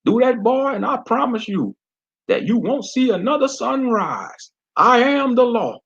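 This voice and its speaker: A man's voice: extremely high pitch, sing-song, a little slow, a bit bright, lo-fi sound, voice pushed up, full character voice. A man voicing a bullying small-town sheriff with a Southern drawl, sneering and menacing. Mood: taunting.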